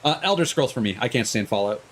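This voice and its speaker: A man's voice: mid-range voice, animated, fast, fairly bright tone. A man chatting casually as he streams a game, hesitant and discursive, restarting phrases and swearing now and then. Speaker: video game streamer, host. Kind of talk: casual rambling chat while streaming a game.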